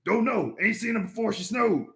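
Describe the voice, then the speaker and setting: A man's voice: high voice, lively intonation, normal speed, fairly bright tone, pitched above the performer's own voice. A man voicing a small, easygoing male bug from a comic story, polite, hesitant and folksy. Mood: folksy, mild. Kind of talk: comic book read aloud in character voices.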